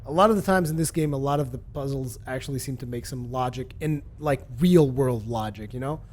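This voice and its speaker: A man casually reviewing a video game for his channel, relaxed and rambling, with lots of fillers and restarts. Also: mid-range voice, brisk. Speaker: gaming video host.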